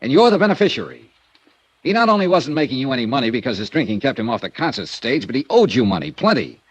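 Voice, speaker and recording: Hard-boiled insurance eye, clipped dry delivery, swings up and down a lot, old radio show. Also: male voice, medium pitch, sing-song, fast, breathy edge, no room sound, dull audio. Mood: probing. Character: hard-boiled male insurance investigator, wry. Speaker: lead actor and narrator. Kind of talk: 1950s radio drama acting.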